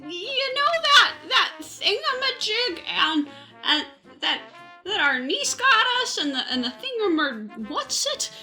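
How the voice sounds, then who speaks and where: A woman playing a befuddled, excitable elderly woman who dithers and exclaims in a comic radio sketch. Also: very high voice, takes it slowly, faint music behind, exaggerated voice, poor audio. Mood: befuddled, flustered.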